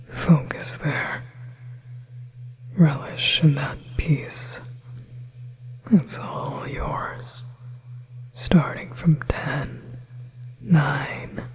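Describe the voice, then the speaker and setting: Possessive demon hypnotist for ASMR roleplay, played by a performer: breathy whisper, very slow, coaxing, level delivery. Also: mid-range voice, rough recording. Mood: coaxing, hypnotic.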